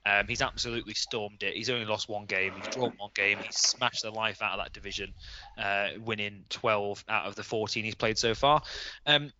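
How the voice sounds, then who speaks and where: A man's voice: on the low side, moderate pace, sharp tone, dull audio. A man chatting casually and in a blokey way as he hosts a podcast among friends. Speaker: host of a gaming league podcast.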